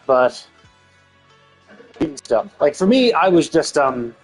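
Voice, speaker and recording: A man's voice: a little high, big pitch swings, slow pace, soft background music, rough recording. A man doing casual live game commentary, thinking aloud in a rambling way and swearing casually.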